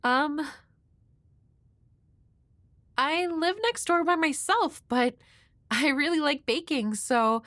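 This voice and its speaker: A woman playing a shy, flustered young neighbor in an intimate audio roleplay, speaking nervously and haltingly to the listener. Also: high voice, fairly bright tone, no echo, clean studio sound. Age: young adult. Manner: nervous, halting, hesitant with stammers. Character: shy, flustered young woman next door, awkward and sweet.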